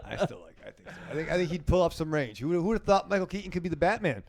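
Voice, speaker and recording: A man's voice: a little high, very animated, very fast, gravelly, a little mellow. A man co-hosting a podcast, brash and profane, laughing as he trades jabs. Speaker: podcast co-host. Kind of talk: casual banter among co-hosts.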